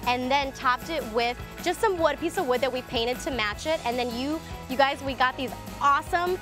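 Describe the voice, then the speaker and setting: A chatty, bubbly young woman with a fairly high voice walks viewers through a craft project. She is recorded outdoors on a backyard TV set with a clip-on mic, laughing easily as she explains. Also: normal speed, music in the background. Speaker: DIY designer on a lifestyle show. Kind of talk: cheerful how-to demonstration.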